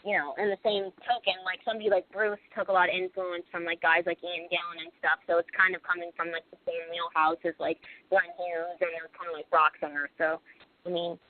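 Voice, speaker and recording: A woman's voice: lowish voice, little pitch movement, a little fast, dry recording. A young woman from Pennsylvania, a band singer guest on a casual radio interview, rambling with lots of "like" and "you know". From Pennsylvania, USA. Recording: lo-fi phone-like radio recording.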